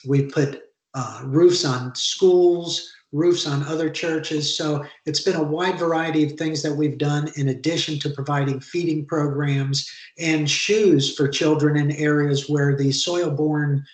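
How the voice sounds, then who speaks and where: A man describing his charity projects on a video call panel, earnest and halting with fillers. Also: on the high side, fairly even intonation, roomy sound. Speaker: charity founder, alumni panelist.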